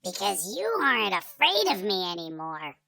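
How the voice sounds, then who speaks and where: A man's voice: high voice, huge pitch swings, moderate pace, vocal fry, sharp tone, voice pushed up, full character voice. A man voicing a small, sulky storybook monster that whines and complains. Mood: whiny, sulky. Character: shrinking little monster, whiny, huffy and sulky. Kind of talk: children's storybook character voice.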